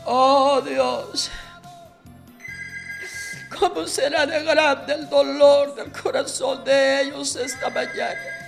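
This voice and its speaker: A woman's voice: sits high, level tone, slow pace, creaky, pauses often, soft background music. A woman preacher leading a fervent, declamatory healing prayer and speaking in tongues.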